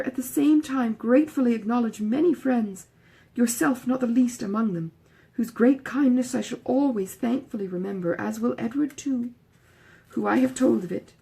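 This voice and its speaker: A woman's voice: fairly high pitch, vocal fry, warm tone, breathy edge. A woman voicing an ingratiating, self-satisfied young woman's letter in an audiobook, effusive and earnest.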